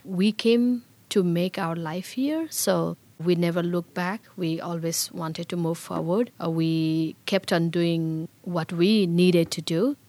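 A woman's voice: fairly low pitch, darkish tone. A middle-aged woman from Bhutan, a native Nepali speaker with a Bhutanese Nepali accent, earnestly recounting her life story in an interview. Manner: reflective, earnest. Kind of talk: personal storytelling.

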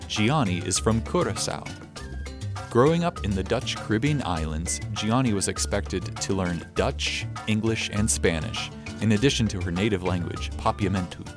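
A man hosting a radio program reads scripted introductions in a low, measured voice. His delivery is even and steady. A music bed plays clearly behind him. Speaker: radio show host. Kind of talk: scripted narration.

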